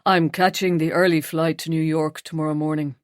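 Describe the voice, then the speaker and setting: A woman reading an audiobook, voicing a man in his forties who calmly and apologetically leaves his partner. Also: low-pitched, fairly quick, no room sound, studio quality, pitched below the performer's own voice, close to an everyday voice. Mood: detached.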